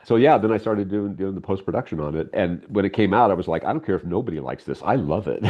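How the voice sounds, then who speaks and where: Deep-voiced man talking fast. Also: animated, highs cut off. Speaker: guest, audio drama creator and director. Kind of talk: casual anecdotal interview talk.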